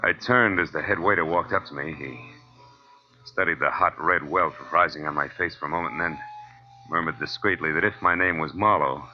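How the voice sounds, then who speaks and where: Guy acting as tough sardonic radio private eye, lowish voice, clipped, old muffled 40s broadcast. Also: normal speed, a little hiss or hum, lightly acted. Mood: wry narration. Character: hard-boiled male private detective, sardonic and blunt. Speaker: lead actor and narrator. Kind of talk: 1940s radio detective acting, first-person narration. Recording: dull old radio recording.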